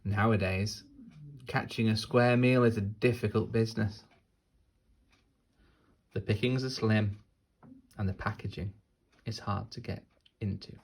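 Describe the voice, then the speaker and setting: A man narrates a children's storybook in a fairly low, husky voice with plenty of creak. He takes it deliberately, leaving dramatic pauses between short phrases. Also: fairly even intonation. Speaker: teacher reading a story.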